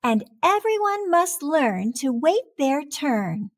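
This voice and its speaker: A patient, firm lady schoolteacher from an undersea picture book, as a woman reader plays her. Her voice is high and very slow, with measured, instructive phrasing. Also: sing-song, full character voice. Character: patient, firm female sea-creature schoolteacher.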